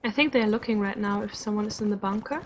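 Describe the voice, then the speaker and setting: A woman commentating a live online game match, chatty and quick to laugh, sounding a little sick. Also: mid-range voice, slight background noise. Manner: chatty, laughs often, uses "like" a lot. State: sick, slightly rough voice. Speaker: esports commentator.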